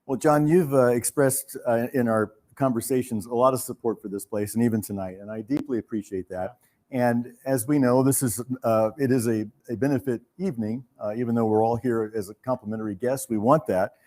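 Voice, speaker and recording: A man speaks as the host of a benefit evening, making an appeal to a live audience. His delivery is measured and halting, with a courteous, formal turn to his phrasing. His pitch sits in a middle range for a man. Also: steady tone, mellow.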